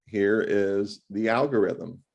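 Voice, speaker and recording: A man tutoring math one-on-one over a video call, talking loudly in an animated, joking way. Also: fairly low pitch, takes it slowly, creaky, muffled recording. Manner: loud, animated and jokey.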